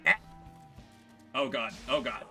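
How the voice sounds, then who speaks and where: A man giving casual, excitable commentary while playing a video game. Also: medium pitch, slow pace, faint music behind. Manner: animated, excitable.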